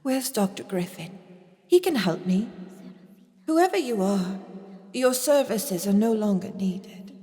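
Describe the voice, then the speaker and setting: A woman's voice: medium pitch, lively intonation, takes it slowly, long pauses, mellow. A woman playing a polite, anxious duchess and devoted mother in an audio drama, with a formal, emotional manner. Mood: confused, demanding. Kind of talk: scripted audio drama acting. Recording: long hall-like reverb.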